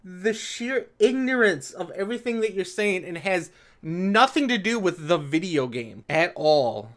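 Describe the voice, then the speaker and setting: Mocking youtuber type reading stuff out and commenting, medium pitched voice, very informal. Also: sing-song, a little slow, a bit bright. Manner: sarcastic, mocking. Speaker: video commentator. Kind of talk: reading an article aloud with reaction commentary.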